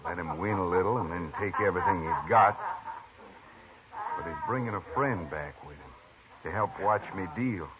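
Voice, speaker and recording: An actor playing a scheming, crooked frontier card dealer in a 1950s radio western, coaxing and menacing by turns. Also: male voice, deep voice, moderate pace, lightly acted. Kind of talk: 1950s radio drama acting. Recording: tinny, noisy old radio transcription.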